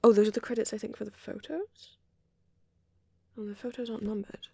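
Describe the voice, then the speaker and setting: A woman voicing a shy vampire boy who rambles gently in an ASMR roleplay, soft-spoken and hesitant, slipping into breathy whispers. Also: medium pitch, fairly even intonation, brisk, dry recording. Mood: puzzled.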